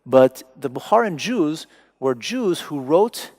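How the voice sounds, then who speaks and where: Wry lecturing man, gravelly voice. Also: fairly high pitch, big pitch swings, slow pace, darkish tone. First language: Persian. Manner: wry, digressive, joking asides. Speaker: artist giving a talk. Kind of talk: lecture to an audience.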